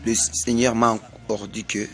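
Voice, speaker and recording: A man from Congo with a Congolese French accent, delivering a slow, repetitive prophetic sermon. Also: fairly low pitch, little pitch movement, fairly bright tone, faint music behind. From Congo (DRC). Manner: slow, repetitive, emphatic pronouncements. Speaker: self-styled prophet.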